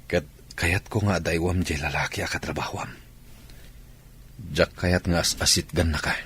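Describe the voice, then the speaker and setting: An actor playing a remorseful, jealous husband with a husky voice, pleading earnestly in an Ilocano radio drama. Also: male voice, sits low, steady tone, normal speed, a bit bright, exaggerated voice. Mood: earnest, insistent.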